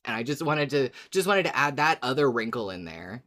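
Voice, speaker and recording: A man chatting as a podcast co-host, wry and a bit halting, repeating words as he works through his ideas. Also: medium pitch, sing-song, sharp tone. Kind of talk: casual fan podcast discussion.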